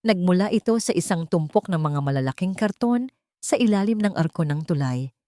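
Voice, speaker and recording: A woman's voice: on the low side, lively intonation, brisk, mellow, no room sound, studio quality. A woman reading a scripted story as a dramatic narrator.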